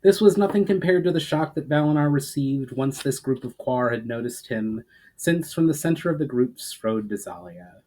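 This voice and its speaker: A man with a mid-pitched voice reads fantasy fiction aloud. His narration is dramatic, starting phrases with emphasis and letting their endings fall away softly. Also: expressive, normal speed, low-quality recording. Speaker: author reading his fantasy story.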